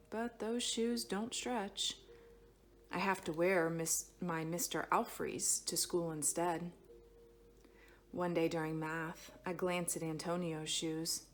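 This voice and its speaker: A woman reading a children's picture book aloud expressively, with dramatic phrasing that stretches some words and hushes others. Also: lowish voice, a little hiss or hum. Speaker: storybook reader.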